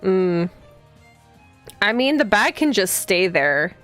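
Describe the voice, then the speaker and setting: A woman livestreaming a visual novel, reading the game text aloud and chatting casually as she thinks out loud and reacts to the story. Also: mid-range voice, big pitch swings, takes it slowly. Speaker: streamer playing a visual novel. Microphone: streaming microphone. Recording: background music behind the voice. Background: game music.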